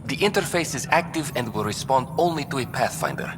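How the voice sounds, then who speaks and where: An actor playing a composed program official who explains things in a measured, bureaucratic way in a video game. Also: male voice, mid-range voice, animated, noisy background, close to an everyday voice. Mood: measured, explanatory. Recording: long hall reverb, music behind.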